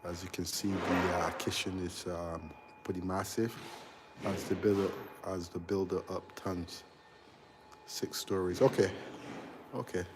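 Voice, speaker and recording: A man in his forties with a low, level voice that barely rises or falls. He chats haltingly with viewers on a phone stream while touring an unfinished house. A faint hum hangs in the background. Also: fairly even intonation. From Barbados. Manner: halting, chatty, reads out and answers viewers' comments. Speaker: electrical contractor. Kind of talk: casual live-stream walkthrough. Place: large unfinished house under construction, indoors.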